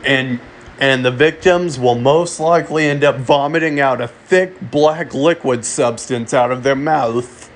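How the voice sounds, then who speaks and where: A man delivering a mock emergency alert in a halting way, often repeating and restarting his phrases. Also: medium pitch, a little hiss or hum. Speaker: amateur narrator of a fictional emergency broadcast. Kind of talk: mock emergency alert announcement, semi-improvised.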